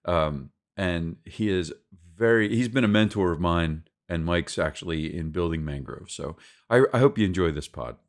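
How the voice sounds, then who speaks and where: Easygoing lower-voiced male podcast host. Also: animated. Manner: easygoing, laughs often, halting restarts. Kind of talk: casual podcast interview.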